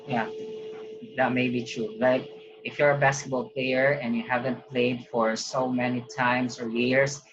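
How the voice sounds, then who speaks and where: Chatty reaction host talking to the viewers, mid pitch, kinda muffled lo-fi sound. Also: flat delivery, measured pace, noisy background. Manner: chatty, upbeat, talks to viewers. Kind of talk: casual reaction commentary.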